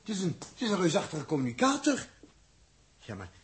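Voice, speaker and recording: An actor in a 1960s science-fiction radio drama playing an excitable technician-inventor with an eager, stammering manner. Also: male voice, sits high, brisk. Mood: astonished, anxious. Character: excitable human technician-inventor among a spaceship crew. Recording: noisy old radio recording with clicks.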